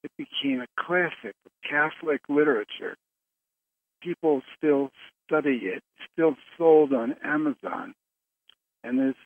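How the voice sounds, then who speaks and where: A man hosting a conference call in a casual, rambling way, pausing often mid-sentence, heard over the telephone. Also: a little high, creaky. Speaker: host of a conference call show. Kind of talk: casual, rambling talk to callers. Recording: narrow phone line with background noise.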